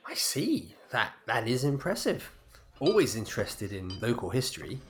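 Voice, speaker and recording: A man's voice: medium pitch, very animated, normal speed, slight background noise. An actor playing a polite, curious semi-retired man new to a village, hesitant and inquisitive, in audio drama dialogue.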